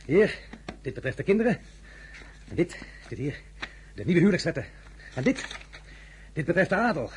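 Napoleon, swooping and grandiose. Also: male voice, fairly high pitch, very animated, normal speed, pauses often, a little hiss or hum. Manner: grandiloquent, teasing, theatrical. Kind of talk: Dutch radio drama acting.

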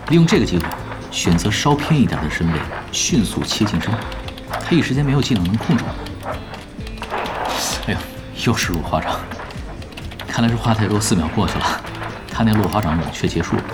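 An actor playing a laid-back, teasing veteran pro gamer in an audio drama, talking in an unhurried, instructive way. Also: male voice, on the low side, natural voice. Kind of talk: Chinese audio drama acting.

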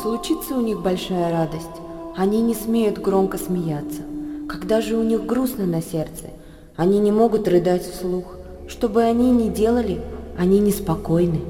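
An actress playing a grave, mournful narrator in a radio drama, with a measured literary delivery. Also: female voice, medium pitch, music in the background, close to an everyday voice. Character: mournful female narrator recounting human misery.

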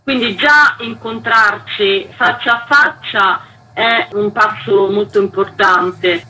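A woman giving a radio interview as a festival curator. Her voice is bright and forward at a medium pitch, and she explains in a conversational style. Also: poor audio.

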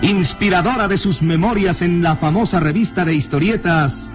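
A man's voice: high-pitched, normal speed. A man narrating a radio drama in a solemn, theatrical scripted style. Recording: vintage radio drama with music behind.

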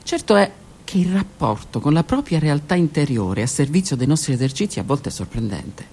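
A woman's voice: sits low, very animated, fairly quick, a little mellow, slight background noise. A woman narrating her own literary text for a radio theatre piece, intimate and often dropping to a whisper. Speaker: author and narrator.

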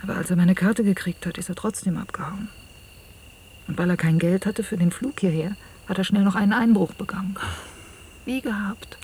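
An actress playing a troubled, soft-spoken woman in an intimate radio drama, often hushed to a near-whisper as she confides and narrates. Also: medium pitch, normal speed, lightly acted. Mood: troubled, confiding. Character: troubled, soft-spoken woman recounting an entangled love affair. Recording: hissy old cassette radio-play recording.

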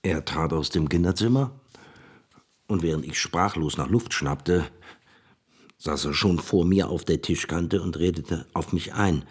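A vain, fame-obsessed scientist tells his own story in a radio play. His voice is extremely low and husky, and he speaks slowly, confiding as if to pals. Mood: uneasy recounting. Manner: confiding, addresses listeners as pals. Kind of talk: radio play, first-person account.

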